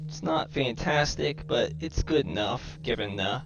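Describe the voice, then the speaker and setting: A man's voice comes through with a glitchy, robotic distortion from his microphone. It has a gravelly creak and a middling pitch. He mutters through his game decisions in short, casual remarks, with music playing clearly behind him. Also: lively intonation, unhurried, highs cut off. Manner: thinking aloud in short clipped remarks. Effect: robotic-sounding microphone distortion. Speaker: gamer commentating own match. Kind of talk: casual gameplay commentary.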